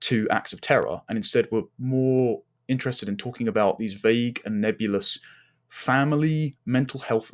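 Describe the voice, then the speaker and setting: A man speaking as a podcast guest, giving emphatic, articulate political commentary in long, building arguments. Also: on the low side.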